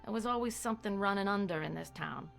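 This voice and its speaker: A woman's voice: mid-range voice, fast, gravelly, a bit bright, faint music behind. A woman voicing a world-weary small-town American sheriff in a video game, with a folksy, dry, matter-of-fact delivery. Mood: grim, rueful. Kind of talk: dramatic cutscene monologue.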